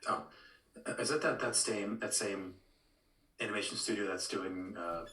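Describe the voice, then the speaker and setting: A man co-hosting a casual discussion in a halting way, repeating words as he thinks. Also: on the low side, sharp tone. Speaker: podcast co-host. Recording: roomy echo, distant from microphone.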